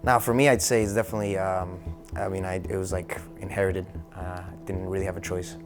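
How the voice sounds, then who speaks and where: Male, quick-fire delivery, slightly low voice, casual group chat, clear music behind. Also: little pitch movement. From Madrid, Spain. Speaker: contestant in a fan panel game.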